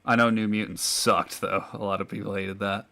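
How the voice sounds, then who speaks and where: A man in his twenties with a relatively deep voice, hosting a casual chat podcast and teasing his co-hosts with frequent laughter. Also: level tone, normal speed, gravelly, pushed and bright. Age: 20s. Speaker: podcast host.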